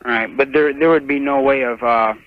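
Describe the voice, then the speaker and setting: A man with a medium-pitched voice phones in to a radio advice show. He speaks casually and haltingly. The sound is dry and somewhat muffled. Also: steady tone, no echo. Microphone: telephone.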